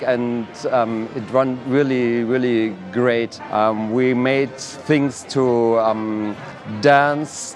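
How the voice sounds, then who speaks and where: A man with a mid-range voice calmly explains a morning dance workshop he led. Faint music drifts behind him. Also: mid-range voice. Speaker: workshop teacher. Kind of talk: festival interview.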